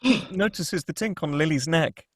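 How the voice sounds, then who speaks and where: A man's voice: a little high, moderate pace, a bit bright, slightly rough, dry recording, studio quality. A man reading scripted stage directions as the narrator of a screenplay table read.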